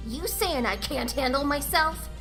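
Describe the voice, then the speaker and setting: A woman's voice: sits high, a little slow, music in the background. A woman voicing a hot-headed young female tenrec fighter in a comic dub, cocky, snappy and sarcastic. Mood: aggressive, taunting.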